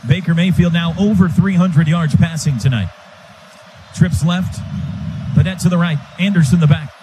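A man calling live football on the radio as play-by-play announcer, in clipped, rapid-fire phrases. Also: on the high side, dark tone. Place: stadium radio booth. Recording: noisy stadium broadcast.

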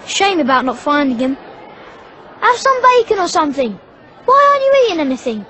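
An actor playing an eager, chummy young schoolboy wizard in a video game, talking in a casual, excitable way. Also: very high-pitched, a little fast, some chatter behind, exaggerated voice. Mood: concerned. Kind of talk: scripted video game acting.

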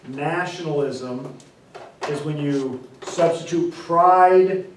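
A man in his forties gives a classroom lecture, his voice a touch high for a man. He is picked up from across an echoey room by a distant camera, with a faint hiss behind him. He drives his points home with repeated examples and slow, stressed key terms. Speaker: instructor. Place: classroom, indoors. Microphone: far camera.

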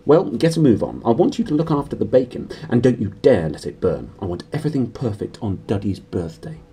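A man voicing a shrill, bossy aunt who dotes on her son, snappy and demanding, in a storybook reading. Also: very animated, brisk, warm tone, husky, distant mic. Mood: snapping, demanding.

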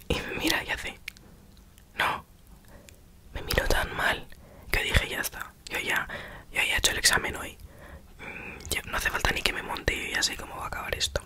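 A woman's voice: moderate pace, pauses often, sharp tone. Whispering young woman telling a story. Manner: chatty, self-mocking, acts out quoted exchanges.

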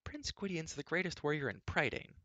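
A man's voice: a little high, sing-song, brisk, pushed and bright, no echo, pitched above the performer's own voice. A man voicing a spirited, outspoken young princess in a storybook, with a prim, chiding way of talking. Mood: tart, reasoning. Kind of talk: audiobook character voice.

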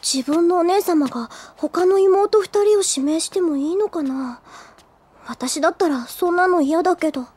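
A woman's voice: very high voice, normal speed, a little mellow. A woman playing an earnest, easily flustered, self-doubting teenage schoolgirl in an anime audio drama. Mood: concerned. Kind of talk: anime audio drama acting, with inner monologue.

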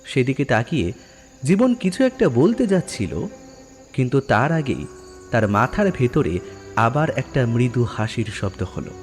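A man narrates a horror story in a dramatic, scripted storytelling style. His pitch moves in lively swings over a faint bed of music. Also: unhurried.

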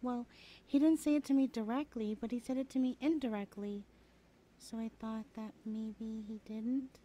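A woman's voice: fairly high pitch, fairly even intonation, moderate pace, warm tone, slight background noise, natural voice. A woman playing a chatty, teasing young adult best friend in a casual, hesitant ASMR roleplay. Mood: wistful. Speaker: voice actor in audio roleplay. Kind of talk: ASMR roleplay, chatty one-sided conversation.

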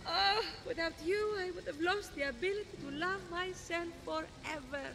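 An actress playing a vain, haughty, flirtatious love goddess in a game, theatrical and arch. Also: female voice, very high voice, normal speed, background noise. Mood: smug, arch. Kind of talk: game character acting.

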